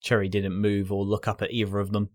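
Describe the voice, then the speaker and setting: A man reading a scripted fan fiction story as its narrator, with soft guitar music behind him. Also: lowish voice, monotone, fairly quick.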